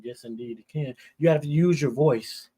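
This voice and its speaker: A man's voice: a little high, moderate pace, mellow, slightly rough. A man speaking as an interview guest, giving earnest, emphatic motivational encouragement in short repeated phrases.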